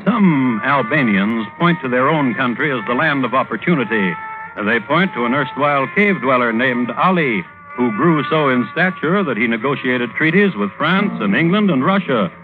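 A man's voice: medium pitch, animated, moderate pace, phone-quality sound. A man hosting and narrating a vintage radio drama in a wry storytelling style. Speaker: host and narrator. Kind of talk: 1950s radio scripted narration. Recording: band-limited old radio recording. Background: music.

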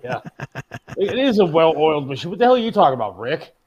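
A man's voice: on the high side, very animated, quick pace, a little mellow, slightly rough. A man co-hosting a talk show in casual, bantering conversation, in slightly dull audio. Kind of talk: casual chat.

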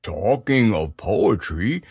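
A man voicing a slow, simple-minded, gentle male bear who stammers and hesitates. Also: on the low side, expressive, husky, no room sound, clean studio sound, full character voice. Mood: hurried.

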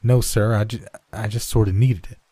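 A man voicing a nervous, defensive young boy in a story, blurting out halting and indignant protests. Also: on the low side, steady tone, warm tone, breathy edge. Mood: nervous, evasive.

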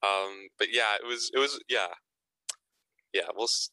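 A young man answers interview questions in a casual, halting way, stumbling over and repeating his words. His voice sits low yet sounds very bright and edgy. The recording is close and dry. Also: no room sound. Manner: hesitant, repeats words, frequent fillers. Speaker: actor guest.

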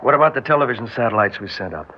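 An actor playing an authoritative alien expedition commander in an old-time radio drama, giving clipped prompts and orders. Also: male voice, medium pitch, expressive, rapid-fire, close to an everyday voice. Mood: brisk, businesslike. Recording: dull, noisy vintage radio recording.

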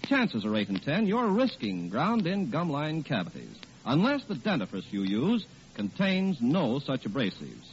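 An American man announcing and reading ads in a 1940s radio style. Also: medium pitch. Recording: muffled old radio recording, faint noise.

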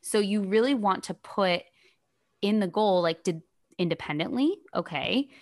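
A woman co-hosting a professional podcast, explaining practical tips in casual chat while her voice keeps going in and out and she clears her throat. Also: medium pitch, animated, a little slow, darkish tone, no room sound, clean studio sound. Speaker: podcast co-host, speech-language pathologist.

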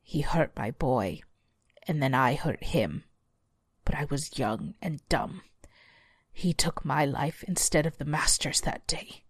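Woman as a demonic giant hound, slow, guttural, low, menacing but loyal, horror storybook, very dry recording. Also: lively intonation, husky, no room sound, full character voice. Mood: sorrowful. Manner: slow, deliberate menacing delivery. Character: massive demonic male devil dog, sneering, menacing yet loyal.

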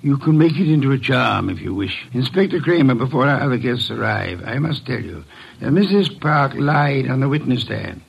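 An actor playing an imperious detective who speaks formally and precisely, in old-time radio mystery style. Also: male voice, mid-range voice, lively intonation, moderate pace, vocal fry, slightly rough. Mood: stern, deliberate. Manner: commanding, formal, precise diction. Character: imperious, deliberate male detective, a mastermind unmasking a killer. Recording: muffled, dull old radio recording.

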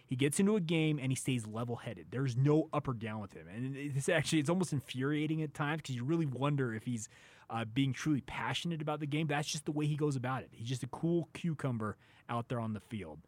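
An American man hosts a sports talk show in a conversational commentary style. His voice sits at a middling pitch for a man. The recording is dry, with hardly any room around it. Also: fairly quick, darkish tone, no echo.

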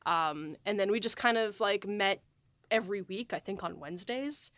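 She is a woman hosting an informal podcast about making a show. Her talk rambles and is dotted with "like". Her pitch sits in the ordinary middle range for a woman, and the sound is dry, with hardly any room to it. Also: moderate pace, fairly bright tone, no echo. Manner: rambling, peppered with "like". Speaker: host and audio drama writer-producer. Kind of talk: casual behind-the-scenes podcast chat.

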